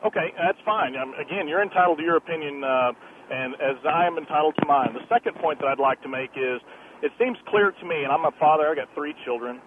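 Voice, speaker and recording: A male caller on a radio debate with a fairly high voice. He sounds earnest and disapproving, with measured wording. The phone line is narrow and tinny, with clear noise. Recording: noisy, tinny telephone line.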